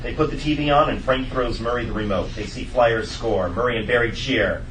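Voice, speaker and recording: A man's voice: medium pitch, a little fast. A man reading scripted stage directions as narrator at a comedy table read. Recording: echoey room, distant mic, loud noise.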